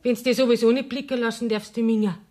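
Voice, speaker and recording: A woman playing a sharp-tongued, middle-aged working-class landlady with a Bavarian accent in a radio play. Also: fairly high pitch, steady tone, fast talker, close to an everyday voice. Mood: brusque. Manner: scolding, blunt. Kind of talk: 1970s German radio play acting in dialect.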